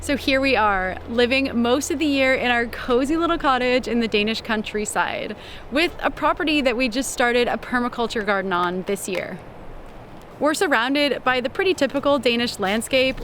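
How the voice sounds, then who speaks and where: A young woman with a slightly high voice narrates in a calm, heartfelt way. She slips in small laughs now and then. Clear music plays under her voice-over. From Canada. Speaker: vlogger.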